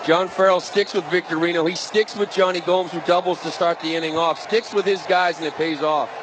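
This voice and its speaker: A man's voice: sits high, fairly even intonation, a little fast, crowd noise. An American man giving conversational, explanatory analysis as a colour commentator on a live baseball broadcast. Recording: live broadcast with loud background noise.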